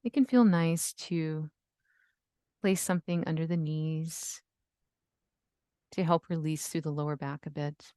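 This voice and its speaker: A woman leading a guided yoga nidra relaxation, giving gentle, soothing instructions with long pauses. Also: low-pitched, steady tone, clear, resonant tone, no room sound, studio quality. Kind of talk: guided meditation.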